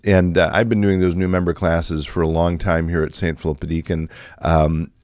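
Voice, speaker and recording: Deep-voiced middle-aged man, slightly raspy. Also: flat delivery, normal speed, a little mellow, dry recording, clean studio sound. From Chicago area, USA. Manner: conversational, many fillers and restarts. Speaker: pastor. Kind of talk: reflective podcast talk.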